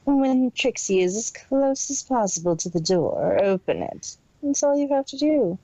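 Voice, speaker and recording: A woman's voice: fairly high pitch, big pitch swings, unhurried, warm tone, no echo, muffled recording, heavily acted. A woman voicing a cold, detached, imperious female pony villain boss in an amateur story reading. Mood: cold, commanding. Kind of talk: amateur fan fiction audio reading.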